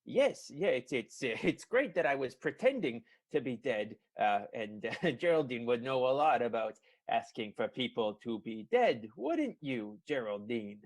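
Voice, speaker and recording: A man's voice: mid-range voice, sing-song, unhurried, few pauses. A man playing an awkward, evasive fellow in an improvised radio soap, nervous and stammering. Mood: awkward, pointed.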